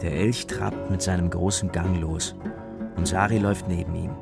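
Low, gravelly male storyteller over music. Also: steady tone, normal speed. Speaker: narrator. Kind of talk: scripted children's story narration. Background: plucked guitar music.

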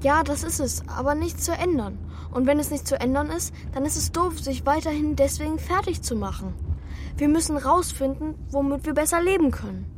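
A man's voice: very high-pitched, expressive, a little fast, a little hiss or hum. A young boy actor playing a thoughtful, determined boy who narrates his adventure in a German children's radio play. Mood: earnest, reassuring. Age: child or young teen boy. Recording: music behind the voice.

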